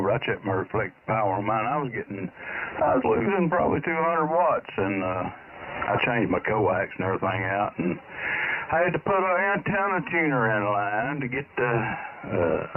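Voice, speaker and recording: Tinny, static-filled radio voice of man. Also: lowish voice. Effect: radio transmission. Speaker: amateur radio operator calling in. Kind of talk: casual radio chat. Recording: narrow, static-filled ham radio signal.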